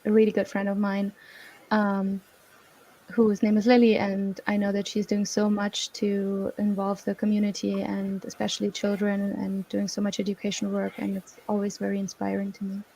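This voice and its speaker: A woman originally from Russia introduces herself on an online panel and fills her pauses with "like" and "um". Her voice is gravelly and keeps a narrow pitch range. She speaks at an ordinary middle pitch. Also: completely flat delivery, warm tone. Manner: halting, with "like" and "um" fillers. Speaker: community board member.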